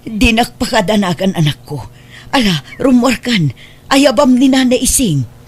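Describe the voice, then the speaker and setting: A woman's voice: fairly high pitch, expressive, moderate pace, close to an everyday voice. A woman playing an anxious, tender mother in an Ilocano audio drama. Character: anxious, tender mother protecting her daughter.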